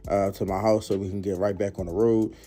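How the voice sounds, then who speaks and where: A man's voice: lowish voice, little pitch movement, brisk, dark tone. A man giving a laid-back, slangy voice-over recap of his night out. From Philadelphia, United States. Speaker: concertgoer narrating his vlog.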